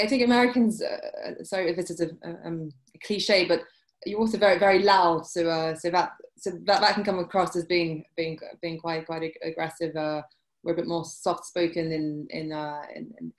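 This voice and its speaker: A woman in her forties with a British accent, answering interview questions over a video call, halting with many restarts. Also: fairly low pitch, steady tone. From UK and France. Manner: hesitant, many restarts and fillers. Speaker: guest, journalist. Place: indoor room, video call. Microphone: laptop webcam mic.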